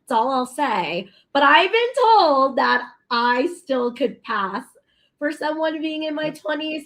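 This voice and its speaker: A woman in her thirties, a talk show guest chatting casually, bubbly and quick to laugh, with many fillers. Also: high voice. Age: 30s. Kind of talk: casual chat.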